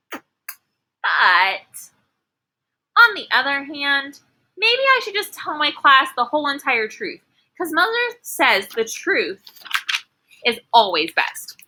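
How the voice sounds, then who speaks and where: A woman's voice: high-pitched, bright, forceful tone, voice pushed up, full character voice, rough recording. A woman voicing an earnest, anxious first-grade girl telling her own storybook tale in an emphatic, childlike way. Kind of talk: children's storybook read-aloud.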